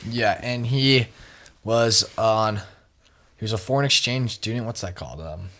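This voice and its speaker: Laid-back young male co-host, lowish voice. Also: measured pace, fairly bright tone. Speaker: podcast co-host. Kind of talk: casual podcast chat.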